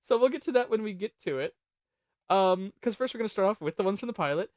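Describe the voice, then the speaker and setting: Lively, jokey host with a dry, close recording. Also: mid-range voice, fast talker, no echo. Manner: jokey, profane, chatty. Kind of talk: casual chat ranking songs on a panel show.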